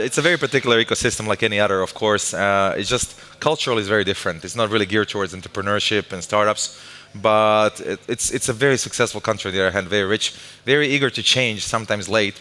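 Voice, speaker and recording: A man's voice: fairly low pitch, fast talker, bright, forceful tone. A man from Croatia, a guest on a live stage interview, talking loosely with self-mocking asides and many restarts. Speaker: guest, tech company developer lead. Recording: long hall reverb.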